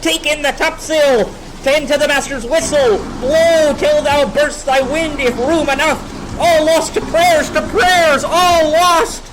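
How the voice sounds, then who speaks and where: A woman playing a hearty ship's boatswain in a Shakespeare radio play, calling out loudly and urgently. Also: sits high, fairly even intonation, slow, hardly any pauses, noisy background. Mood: urgent, frantic. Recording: voice over loud storm effects.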